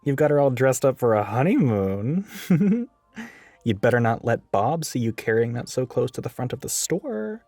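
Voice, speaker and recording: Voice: mid-range voice, big pitch swings, normal speed, a little mellow, no echo. Performer doing a bossy flirty scheming store coworker, sarcastic, really swoopy voice, close dry mic w music behind. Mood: flirty, sweet. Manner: sarcastic, teasing, swinging from barking to cooing. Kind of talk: acted audio roleplay monologue to listener.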